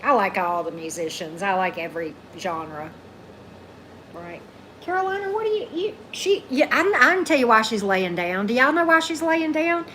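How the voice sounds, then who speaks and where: A woman's voice: animated, moderate pace, sharp tone, rough recording. A woman chats through a livestream. She talks casually, answers viewers by name and often ends a thought with "right?". A faint hiss of background noise sits under her voice. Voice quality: deep voice. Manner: chatty, keeps asking "right?", greets commenters by name. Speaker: tarot reader hosting a livestream.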